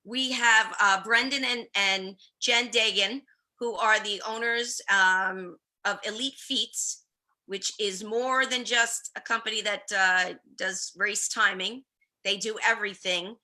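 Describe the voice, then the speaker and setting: Bubbly woman host on a video call, bright chatty delivery. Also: medium pitch, steady tone, slow, poor audio. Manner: bubbly, chatty, laughs easily. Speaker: podcast host. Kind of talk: casual video-call chat show.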